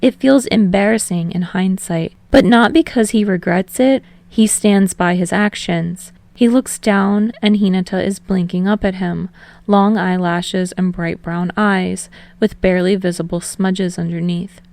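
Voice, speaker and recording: A woman's voice: medium pitch, fairly even intonation, unhurried, creaky, darkish tone, no echo. A woman reading scripted fiction aloud as an audiobook narrator.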